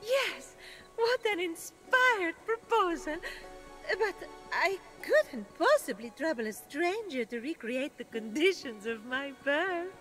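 A woman's voice: extremely high pitch, sing-song, slow pace, a bit bright, exaggerated voice, low-quality recording. A woman voicing a sweet, reformed goddess trapped as a tree in a video game, gracious and theatrical, with saintly sincerity. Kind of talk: video game character acting. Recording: music behind the dialogue.

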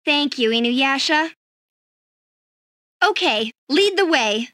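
A woman's voice: high voice, lively intonation, takes it slowly, pushed and bright, no echo, studio quality, heavily acted. An actress playing an earnest, kind-hearted teenage schoolgirl heroine in an anime game. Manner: earnest, pleading.

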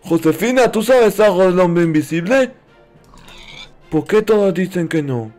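A man's voice: high-pitched, expressive, unhurried, darkish tone, faint music behind. A man doing playful roleplay commentary over a video game, talking in a childlike, naive, exclamatory way. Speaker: gaming video host.

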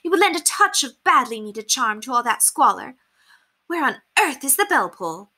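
Storybook lady of the manor: spoiled, pompous, affected, high-pitched, theatrically swooping. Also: big pitch swings, normal speed, bright, forceful tone, heavily acted. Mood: self-important. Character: haughty, spoiled, dramatic young lady of the manor.

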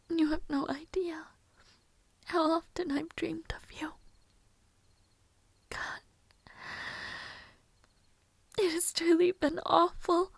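Woman doing a fragile devoted maid for audio roleplay, super high breathy whispery voice, slow n hesitant, tender. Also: no room sound, heavily acted. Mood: grateful, wounded. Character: devoted, fragile young maid, tearfully relieved, deferential.

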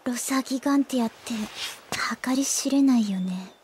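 An actress playing a sharp, polite teenage schoolgirl in an anime audio drama, matter-of-fact and a little tart. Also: high-pitched, moderate pace, a little hiss or hum, lightly acted. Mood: puzzled, reasoning.

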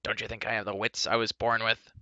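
A man voicing an irritable, gruff little male fair-folk creature who snaps and blusters. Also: fairly low pitch, flat delivery, a little fast, sharp tone, dry recording. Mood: irritated. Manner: snappish, blustering.

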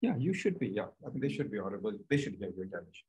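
A man in an observatory control room talking over the night's observing in a relaxed, hesitant way. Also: fairly low pitch, quick pace, reverberant, low-quality recording. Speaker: observing astronomer. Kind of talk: casual technical chat.

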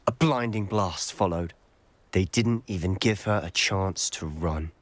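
A determined teenage wizard in a video game. His British voice sits on the low side, earnest and intense as he explains himself. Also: measured pace, dry recording. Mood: grave, intent. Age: young adult. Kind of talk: video game character dialogue.

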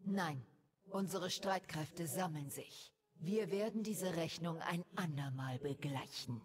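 A woman's voice: sits low, slow, slightly rough, full character voice. A woman voicing a fierce, regal elf priestess and war leader in a video game, solemn and declamatory. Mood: solemn, resolute.

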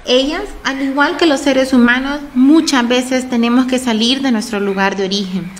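A woman playing a feisty, warm-hearted migrant from Honduras in a radio drama, earnest and expressive. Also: sits high, normal speed, slight background noise, lightly acted. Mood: earnest, sad.

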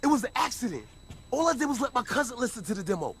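A woman's voice: fairly high pitch, very animated, very fast, husky, heavily acted. An actress playing a frightened, pleading woman in a video game, defensive and panicky as she makes excuses. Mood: panicked. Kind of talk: video game cutscene acting.

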